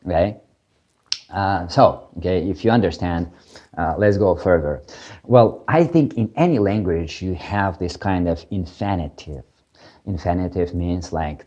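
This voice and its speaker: Guy w teaching, low dark voice, lots of uhs, kinda dull lo-fi sound. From Ukraine. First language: Russian. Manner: hesitant, many fillers and restarts. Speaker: language teacher. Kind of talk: casual video lesson.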